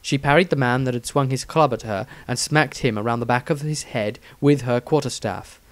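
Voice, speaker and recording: Male audiobook narrator for fantasy fiction: mid-pitched, measured storytelling, dry studio-like sound. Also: no echo.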